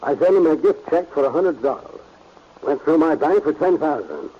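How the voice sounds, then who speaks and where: An actor playing an indignant, defensive businessman, curt and self-righteous, with a husky voice in 1940s radio style. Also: fairly high pitch, animated, normal speed. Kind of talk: 1940s radio drama acting. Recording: muffled old radio recording.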